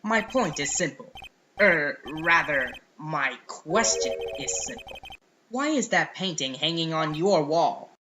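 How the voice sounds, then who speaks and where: A man's voice: on the high side, sing-song, slow pace, noisy background. A man playing an earnest, inquisitive young defense lawyer in a video game fandub, sometimes hesitant and easily flustered. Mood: questioning. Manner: inquisitive, hesitant, plain-spoken.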